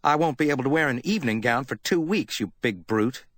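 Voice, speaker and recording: A woman's voice: rumbling low, animated, fairly quick, dry recording, studio quality, voice pushed up. A man reading an audiobook, voicing a worried young woman secretary in a raised, anxious, tart voice. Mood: teasing.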